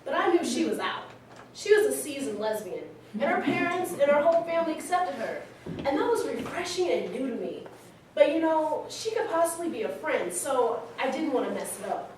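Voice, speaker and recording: A woman's voice: high voice, sing-song, moderate pace. A woman playing a candid, smitten young woman telling her life story conversationally in a staged reading, recorded in an echoey hall. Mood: candid, excited. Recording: very echoey hall, distant mic, faint noise.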